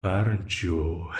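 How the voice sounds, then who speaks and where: A man's voice: on the high side, a little mellow, echoey room, slight background noise, exaggerated voice. A man voicing a smug, dominant demon who taunts and laughs as he binds a ruler to a contract. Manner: slow, taunting, with frequent laughs. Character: dominant scheming male demon, smug and menacing. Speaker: voice actor in an audio roleplay. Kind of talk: audio roleplay acting.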